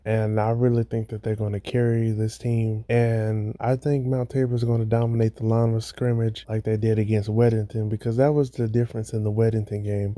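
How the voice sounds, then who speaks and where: Mellow, slightly hoarse male sports host. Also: lowish voice, dry recording. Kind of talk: sports commentary monologue.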